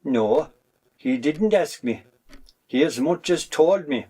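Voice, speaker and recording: Man acting folksy Irish uncle, highish voice. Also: animated, slow, warm tone, breathy edge, exaggerated voice. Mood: genial, probing. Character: nosy Irish country uncle dropping by. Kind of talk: amateur stage-play acting.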